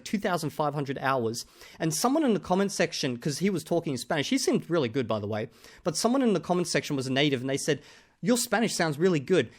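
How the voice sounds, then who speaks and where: A man reacting to another creator's video in casual, argumentative commentary, often pausing on "okay?" to drive his points home. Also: on the high side.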